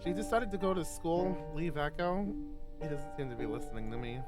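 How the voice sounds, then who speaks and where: A man with a fairly high voice reads a visual novel aloud on a stream. He narrates casually, trips over words and restarts, and drops in chatty asides, with guitar and piano music clearly behind him. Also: animated, a little fast. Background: guitar and piano game music.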